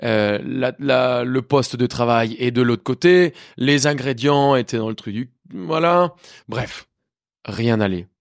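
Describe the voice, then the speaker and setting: A man's voice: medium pitch, unhurried, creaky, dry recording, studio quality. A man in his thirties from Colombia with a faint Spanish accent, telling his story in a candid interview, full of restarts and hesitations. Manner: lively, many restarts and hesitations. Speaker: chef guest.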